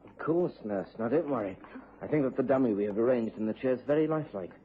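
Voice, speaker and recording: A man's voice: medium pitch, lively intonation, fast, close to an everyday voice. A man playing an earnest, reassuring hospital orderly in a vintage radio drama. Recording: tinny, faintly hissy old radio recording.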